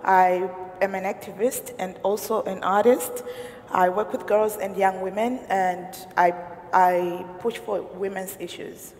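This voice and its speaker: A woman from Zimbabwe introducing herself slowly as an activist and artist. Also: medium pitch, steady tone, darkish tone, slight background noise. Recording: distant in a reverberant hall.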